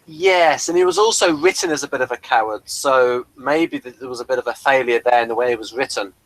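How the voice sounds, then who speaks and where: A man hosting a casual review chat, talking in a halting way and repeating words as he goes. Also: medium pitch, fairly bright tone, no room sound, rough recording. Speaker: host of a TV review show.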